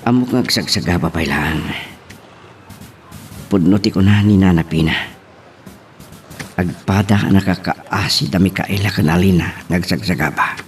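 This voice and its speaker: A man acting a gentle, lovelorn, sorrowful suitor in an Ilocano romance radio drama. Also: low voice, moderate pace, pauses often, soft background music, close to an everyday voice.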